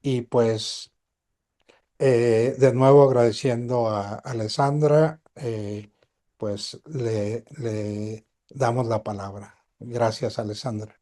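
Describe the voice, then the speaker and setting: A man's voice: mid-range voice, steady tone, takes it slowly, warm tone. A man moderating a webinar, making announcements and introducing the speaker, with many hesitant fillers.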